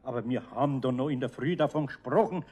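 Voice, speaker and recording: Gruff kindly old carpenter, an older man playing him, fatherly, firm. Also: medium pitch, quick pace, mellow, close to an everyday voice. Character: older kindly master carpenter, gruff but good-natured. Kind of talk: 1970s radio play acting.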